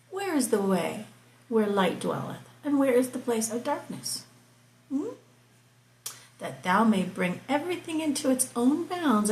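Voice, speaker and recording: A woman reading scripture aloud slowly and explaining it, stopping for casual asides and soft whispered remarks. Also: medium pitch, vocal fry, roomy sound, rough recording. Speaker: Bible reading host. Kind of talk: slow scripture reading with commentary.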